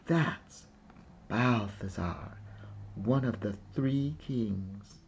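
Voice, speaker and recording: A man's voice: on the low side, drawn-out words, husky, lo-fi sound, heavily acted. A man reading a storybook as a proud, eager little girl who earnestly and carefully plays museum guide. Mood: fond, explaining.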